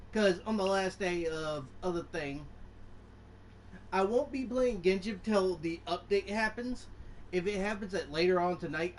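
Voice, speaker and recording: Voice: mid-range voice, a little hiss or hum. A gaming channel host giving casual, informal gameplay commentary, often muttering to themselves. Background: game music.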